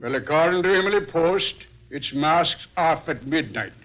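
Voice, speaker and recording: Wisecracking American policeman, male actor, gruff and slangy, fairly high male voice, 1940s radio acting on a dull old recording. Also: lively intonation, moderate pace, close to an everyday voice. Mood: sarcastic, suspicious. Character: brash, wisecracking American city policeman, suspicious and bossy.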